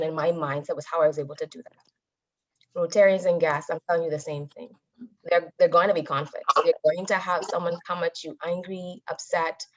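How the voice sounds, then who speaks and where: Woman, slightly low pitch, motivational guest speaker, emphatic and instructive, deliberate phrasing, muffled lo-fi recording. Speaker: guest speaker, Toastmasters member. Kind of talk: motivational public talk. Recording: dull, lo-fi audio.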